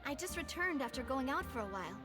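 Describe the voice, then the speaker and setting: A woman's voice: high-pitched, big pitch swings, quick pace. A woman playing a poised young lady leader in an anime video game, articulate, spirited and determined, with a trace of grief. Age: young adult. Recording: game music behind the voice.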